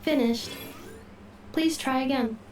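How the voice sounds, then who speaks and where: Composed robot, slightly high voice. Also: female voice, a little mellow, reverberant, far from the mic. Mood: calm, analytical. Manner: measured, clipped, procedural phrasing. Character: composed female robot, literal-minded, polite, analytical. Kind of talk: video game voice acting.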